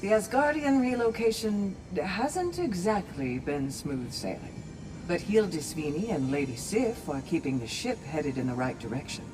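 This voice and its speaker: A woman's voice: fairly low pitch, expressive, moderate pace, lightly acted, rough recording. A woman playing a steadfast, kindly Valkyrie warrior in a video game, speaking in a composed, articulate, formal way. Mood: measured, informative. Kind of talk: video game cinematic acting. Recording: game music behind the voice.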